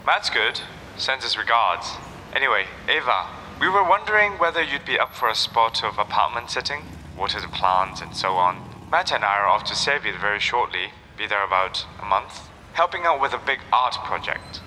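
An actor playing a cultured, wry retro enthusiast and kindly friend in an audio drama. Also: male voice, medium pitch, lively intonation, normal speed, sharp tone, a little hiss or hum. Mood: friendly. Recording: long reverberant hall-like echo.

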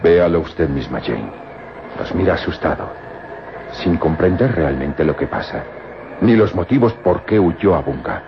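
Forceful male desert guide, very low, declamatory and animated, muffled radio drama. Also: unhurried, noisy background. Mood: grave warning. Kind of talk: old-time radio drama acting. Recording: muffled old radio recording, loud music behind.

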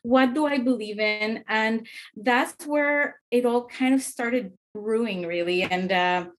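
Woman in her forties from Mexico, higher-pitched, frank and thoughtful, as a podcast guest. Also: slow pace. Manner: reflective, frank, with fillers. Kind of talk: casual personal testimony.

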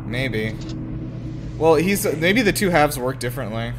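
A man co-hosting a casual movie-reaction chat, excitable and joking, with casual swearing. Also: medium pitch, a little slow, noisy background. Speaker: podcast co-host.

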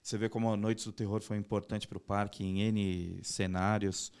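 A man hosting a podcast, relaxed and friendly, talking with casual slang. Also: on the low side, moderate pace, smooth. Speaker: podcast host. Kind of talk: casual interview chat.

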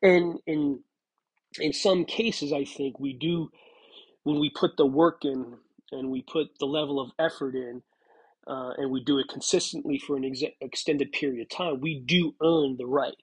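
A man's voice: on the high side, fairly even intonation, normal speed, breathy edge, poor audio. A man in his forties hosting a solo podcast, talking in a reflective, rambling way with many fillers and restarts, a bit stuffy from a cold. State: stuffy from a cold, clears throat. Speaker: podcast host. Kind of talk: casual solo podcast monologue.

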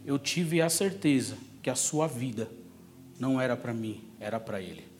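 A man's voice: medium pitch, animated, moderate pace, slight background noise. A man with a Brazilian São Paulo accent preaching a sermon through personal testimony, conversational but emphatic, repeating key phrases for effect.

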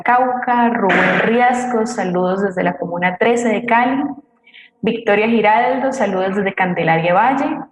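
A Colombian woman co-hosting, reading out listener messages and greetings in an echoey recording. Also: medium pitch. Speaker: co-host reading audience greetings. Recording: echoey room with faint noise, clicks.

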